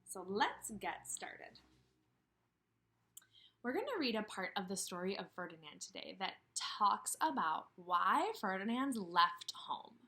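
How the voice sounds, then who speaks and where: Bright, animated woman teaching young children. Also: medium pitch. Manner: expressive, with questions and emphatic pauses. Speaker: kindergarten teacher. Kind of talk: instructional read-aloud for young children. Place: indoors, room with a brick wall.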